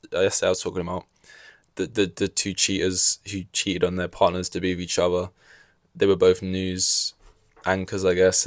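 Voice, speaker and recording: A man's voice: fairly low pitch, no echo, studio quality. A young man hosting a casual solo podcast, rambling with wry asides and a slightly scratchy throat. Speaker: podcast host.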